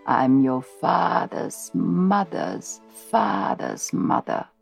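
A woman voicing a very old, calm and mysterious great-great-grandmother, speaking slowly and low. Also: gravelly, faint music behind, full character voice. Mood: serene. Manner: slow, low, measured.

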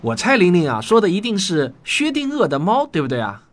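A man's voice: sits high, wildly swooping pitch, fairly quick. A man playing a genial, indulgent father who explains things patiently in a radio drama. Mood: explaining.

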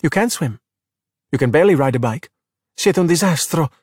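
A man's voice: on the high side, moderate pace, no room sound, studio quality, voice pushed up, natural voice. A man voicing a bossy, exasperated, spirited young Italian girl who drops Italian words into emphatic talk in a children's audiobook. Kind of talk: audiobook character voice in a children's story.

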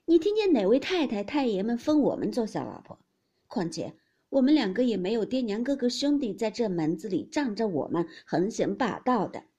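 Woman voicing a gentle peacemaking young maid. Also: a little high, very animated, moderate pace, slightly rough, heavily acted. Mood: soothing.

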